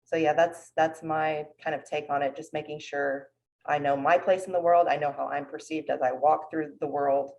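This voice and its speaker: A woman's voice: deep voice, fairly even intonation, normal speed, creaky, darkish tone, muffled recording, slight background noise. A woman therapist speaking humbly and thoughtfully about her clients on a panel. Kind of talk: panel discussion.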